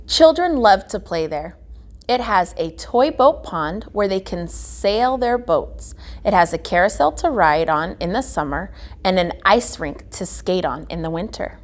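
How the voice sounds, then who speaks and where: A woman reading a children's picture book aloud as a guest reader, phrasing it deliberately with emphasis. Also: medium pitch, animated. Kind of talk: children's storybook read-aloud.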